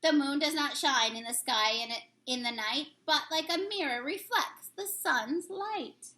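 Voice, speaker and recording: Bright, high-voiced woman reading to kids. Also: unhurried. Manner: sing-song, expressive storybook reading. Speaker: teacher reading a picture book aloud. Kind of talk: children's read-aloud.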